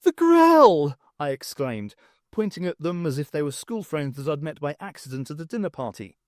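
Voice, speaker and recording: Man, fiction narration, expressive storytelling, lively swooping intonation, close dry recording. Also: on the high side, fairly quick, no echo. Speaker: audiobook narrator. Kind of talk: animated scripted fiction narration.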